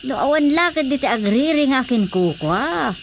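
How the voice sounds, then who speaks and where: A down-to-earth village woman in radio comedy style, questioning and Ilocano-accented. Her voice is a little high, with faint noise behind it. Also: expressive, moderate pace. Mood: matter-of-fact. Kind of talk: radio comedy drama acting.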